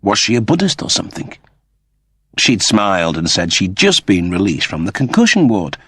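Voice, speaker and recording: A man's voice: low-pitched, very animated, normal speed, a bit bright, husky, dry recording, studio quality. A man narrating scripted science fiction as a dramatic audiobook reader.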